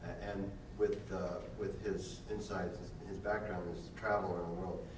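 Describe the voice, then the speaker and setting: An older man in the audience shares a halting comment, stopping often to find his words. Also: low voice, little pitch movement. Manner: hesitant and searching for words. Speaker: audience member sharing a comment. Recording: echoey hall, distant mic, clear background noise.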